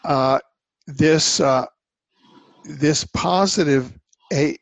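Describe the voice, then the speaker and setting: A man talking earnestly in a casual conversation, halting and rambling as he explains things. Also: fairly high pitch, creaky, no room sound. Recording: dull lo-fi dry sound.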